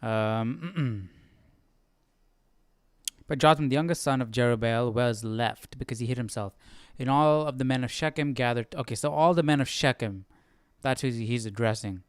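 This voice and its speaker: A man hosting a Bible study, casually thinking aloud as he reads, haltingly asking himself questions. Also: medium pitch, smooth, no room sound, studio quality. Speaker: host of a live Bible study.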